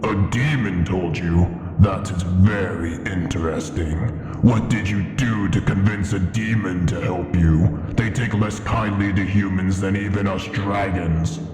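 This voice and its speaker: A man voicing an ancient, proud dragon in a fantasy ASMR roleplay, speaking in an imperious, formal and deliberate manner. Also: sits low, steady tone, darkish tone, slight background noise. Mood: measured, instructive. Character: ancient proud male dragon in his cavern lair. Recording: echoing cavern reverb with music behind. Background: music.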